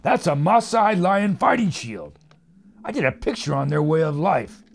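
Male, plucky pulp adventurer acted, high and lively with a gravelly creak, noisy scene ambience. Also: normal speed. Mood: eager. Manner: bold, folksy exclamations. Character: brash, plucky young adventurer hero. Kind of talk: old-time pulp radio drama acting.

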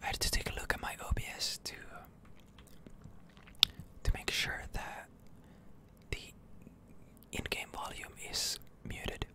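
Slow, whispering ASMR gamer. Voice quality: breathy unvoiced whisper. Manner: soothing, drawn-out delivery with repeated words. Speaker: video game streamer and channel host. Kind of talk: whispered ASMR game commentary.

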